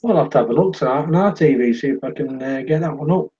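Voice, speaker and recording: An English man hosting a casual live-stream interview, his voice wearing out and going hoarse. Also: on the high side, quick pace, mellow. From England. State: losing his voice. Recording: dull lo-fi sound.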